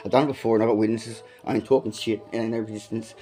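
A man's voice: on the low side, fairly even intonation, rapid-fire, mellow. A man freestyling profane, aggressive rap bars, repeating lines as he goes. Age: adult, has a son. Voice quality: says he has a big voice. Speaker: amateur freestyle rapper.

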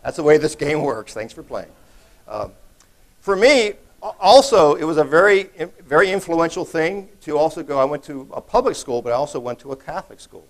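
A man's voice: a little high, big pitch swings, a little fast. A middle-aged man giving a keynote talk at a conference, speaking off the cuff with jokes, fillers and restarts, recorded in a large hall while he stands away from the podium. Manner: conversational, joking, many restarts and fillers.

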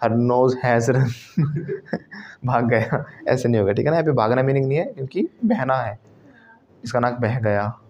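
A man's voice: medium pitch, lively intonation, normal speed, darkish tone, muffled recording, slight background noise. A man with an Indian accent teaching a spoken English class, prompting students to repeat phrases. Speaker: English trainer.

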